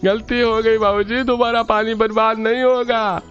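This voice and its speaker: A man acts out an anxious cartoon gardener in a high, strained-up voice. He is apologetic and servile. The sound is muffled, with music behind. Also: level tone, moderate pace, fairly bright tone. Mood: sheepish, apologetic. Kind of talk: cartoon story character acting.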